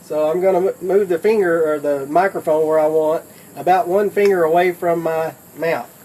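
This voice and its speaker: A man's voice: on the high side, steady tone, moderate pace, darkish tone, a little hiss or hum, low-quality recording. A man giving a casual how-to demonstration in a folksy, plain-spoken way, like a product demonstrator explaining things step by step.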